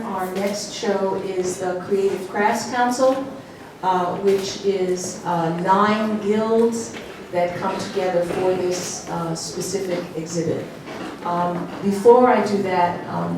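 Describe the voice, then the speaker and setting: Woman curator, hesitant opener full of fillers, heard from a distance in an echoing room with noise. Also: fairly low pitch. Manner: halting, many ums and uhs. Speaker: gallery curator. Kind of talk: opening remarks at an exhibition. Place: gallery room with an audience. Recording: echoey room from far off, noisy.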